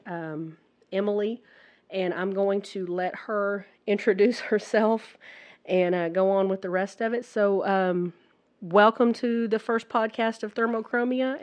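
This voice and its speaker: A woman hosting a casual podcast interview, husky as she recovers from the flu, talking in a chatty, halting way with many restarts. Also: mid-range voice, darkish tone. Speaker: podcast host.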